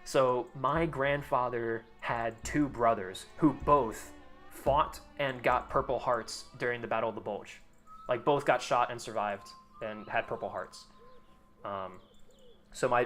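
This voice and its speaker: A man's voice: mid-range voice, a bit bright. Rambling young American man, casual chat. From United States. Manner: rambling, loose, lots of fillers and restarts. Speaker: streamer. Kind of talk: casual chat while gaming.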